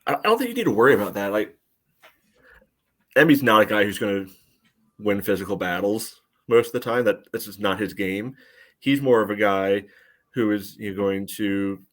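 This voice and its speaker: A man co-hosting a podcast and analysing soccer in casual chat, in hesitant, choppy phrases peppered with "you know". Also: on the low side.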